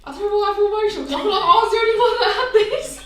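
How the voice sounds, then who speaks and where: A woman co-hosting a podcast in casual chat, loud and excitable with frequent giggles. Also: very high voice, rapid-fire, echoey room, a little hiss or hum. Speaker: podcast co-host.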